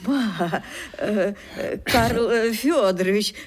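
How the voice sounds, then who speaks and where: A woman's voice: mid-range voice, slow pace, breathy edge. An actress playing a nosy, folksy old mother in a radio drama, fussing and hesitating with many interjections. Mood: fussily welcoming. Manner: hesitant, fussy, colloquial, full of interjections. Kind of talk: theatrical Russian radio drama acting.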